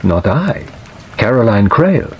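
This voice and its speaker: A man voicing a calm, watchful detective who questions in short, measured phrases in an audiobook drama. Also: mid-range voice, husky, exaggerated voice. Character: composed, probing male detective, watchful and terse. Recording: dull audio under steady rain noise.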